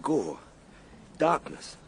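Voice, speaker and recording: An actor playing an earnest, reflective gentleman time traveller in a radio drama, moving between measured storytelling and simple, tender coaxing. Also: noisy background. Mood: coaxing, firm. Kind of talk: radio drama narration and acting.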